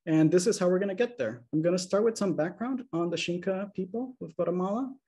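A man in his thirties giving a measured, explanatory academic lecture over a video call, checking in with his listeners. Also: sits high, warm tone. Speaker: linguistics lecturer. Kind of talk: academic slide presentation. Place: small room indoors. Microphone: video call webcam.

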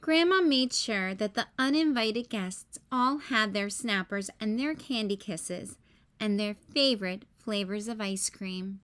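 A woman hosting story time, reading a children's picture book in a careful, expressive way for young listeners. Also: medium pitch, unhurried. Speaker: storytime host.

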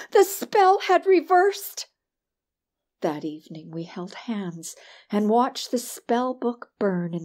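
A woman reading a first-person short story as an audiobook narrator, with expressive, dramatic delivery. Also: fairly low pitch, husky.

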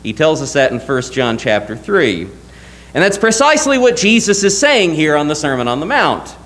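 Young male preacher, slightly high voice, very lively pitch, earnest, emphatic, rhetorical questions, church pulpit mic. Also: normal speed, poor audio. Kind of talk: sermon. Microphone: lectern mic, wide camera.

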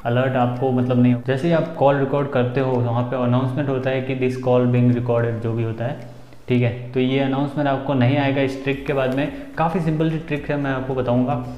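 A man's voice: medium pitch, level tone, brisk, a little mellow. A man in his twenties with an Indian accent gives a casual, informal step-by-step phone tutorial as host, often checking that the listener follows. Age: 20s. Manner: informal, instructional, frequent check-in tags. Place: small indoor room. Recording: roomy echo, dull sound, faint music.

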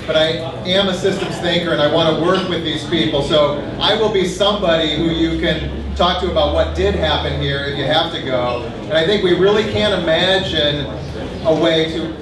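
Middle-aged male, slightly high voice, informal appeal, very reverberant venue. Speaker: business owner speaking at event. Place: busy restaurant event room, indoors. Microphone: handheld mic, picked up by distant camera. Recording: echoey, distant, crowd murmur.

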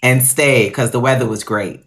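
A woman's voice: a bass voice, creaky, slight background noise. Candid woman chef telling her story. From Guyana. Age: 40s. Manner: candid, storytelling, drawn-out emphasis. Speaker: guest chef. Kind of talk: video call interview. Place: home room. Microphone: video call.

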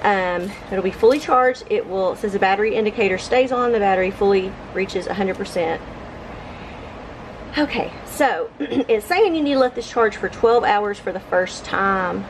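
A middle-aged woman, casually narrating a home vlog in an informal, halting, think-aloud way. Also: medium pitch, lively intonation, a little hiss or hum, low-quality recording. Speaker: home vlogger. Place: living room of a home, indoors. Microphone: camera mic on a tripod nearby.

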